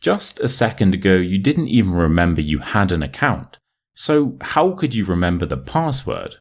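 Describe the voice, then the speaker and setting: A man with a modern RP British accent reading a short story as an English teacher, in a measured, clearly enunciated way. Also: sits low, sing-song. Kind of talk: scripted story narration for listening practice.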